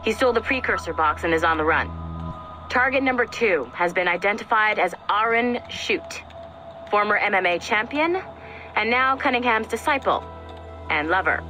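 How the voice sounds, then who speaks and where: An actress playing a brash strike-team handler who gives orders in a snide, commanding way. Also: female voice, medium pitch, animated, normal speed, rough recording. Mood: brisk, sarcastic. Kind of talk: scripted game dialogue, mission briefing. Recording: loud music behind the voice.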